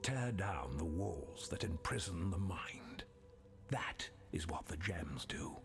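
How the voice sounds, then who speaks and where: A man playing an obsessed scholarly sorcerer in a video game, speaking in a grandiose, deliberate, brooding manner. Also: lively intonation, over music, heavily acted. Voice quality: low, gravelly. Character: obsessed scholarly male thaumaturgist reading his dark journal. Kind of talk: theatrical dramatic game monologue.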